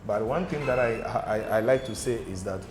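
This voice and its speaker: A man's voice: medium pitch, a little fast, noisy background. Ghanaian man telling stories, echoey room. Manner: animated storyteller, repeats "okay?" and "do you understand?". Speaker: guest. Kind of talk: casual studio interview. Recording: echoey room with music behind.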